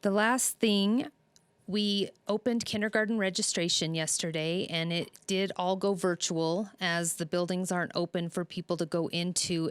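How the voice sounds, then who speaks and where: Lady superintendent doing a public address, kinda low smooth voice, earnest and reassuring, dry sound. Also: no room sound. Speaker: school district superintendent. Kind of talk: public address to families, semi-scripted update.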